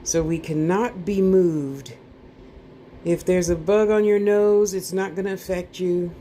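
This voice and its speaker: A woman guides a meditation at a very unhurried pace in a soft-edged, mellow voice. She is soothing and informal, slipping in playful asides and repeating short phrases to lull the listener. There is some background noise on the recording. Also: fairly low pitch, fairly even intonation. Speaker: guided meditation class teacher. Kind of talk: guided meditation, relaxation instructions.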